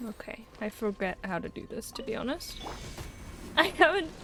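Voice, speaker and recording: Lively, high-pitched woman streaming a game. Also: moderate pace. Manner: muttering reactions to the game. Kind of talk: casual live gameplay commentary. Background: game music.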